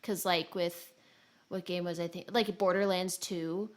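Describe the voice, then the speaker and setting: Female podcast co-host, slightly low pitch, informal and rambling, self-deprecating gamer chat. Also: steady tone, unhurried. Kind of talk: casual chat about video games.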